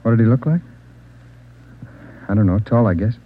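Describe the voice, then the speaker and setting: A stammering, jittery drug suspect. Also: male voice, fairly low pitch, lively intonation, normal speed. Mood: nervous evasive. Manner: stammering, evasive, repeats questions back. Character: jittery male drug suspect under questioning. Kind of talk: 1950s radio drama acting. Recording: dull old radio recording.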